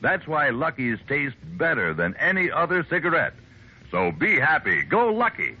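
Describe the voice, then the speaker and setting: A man's voice: a little high, wildly swooping pitch, moderate pace, husky. A man reading a 1950s radio commercial as announcer, on a muffled old recording.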